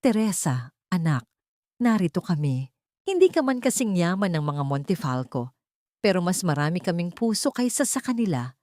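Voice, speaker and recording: A woman's voice: on the low side, very animated, normal speed, dark tone, no echo, studio quality. A woman with a Filipino accent voicing a determined, motherly older village woman in an audiobook drama. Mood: tender, determined. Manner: earnest, tender, resolute. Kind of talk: audiobook character dialogue.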